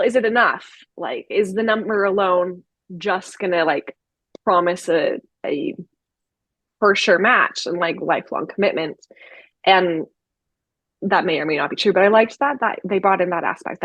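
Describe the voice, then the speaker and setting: A woman with a mid-range, slightly husky voice talks casually about books. She is chatty and enthusiastic, dropping in "like" often. The sound is close and dry, with very little room. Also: medium pitch, no room sound. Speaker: book review show co-host. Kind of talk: casual book discussion.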